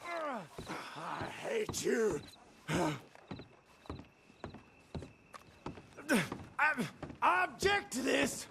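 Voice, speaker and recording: An actor playing a whiny, indignant outlaw captive in a Western video game, pleading and aggrieved. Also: male voice, very high voice, very animated, drawn-out words, gravelly, background noise, full character voice. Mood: bitter, angry. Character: whiny, indignant male outlaw captive protesting his innocence.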